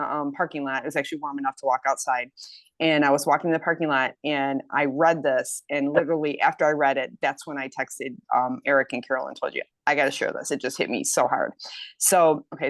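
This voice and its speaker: Low-voiced woman in her fifties. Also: dry recording. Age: 50s. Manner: enthusiastic, reads quotes aloud. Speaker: health program member. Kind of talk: casual group chat testimonial.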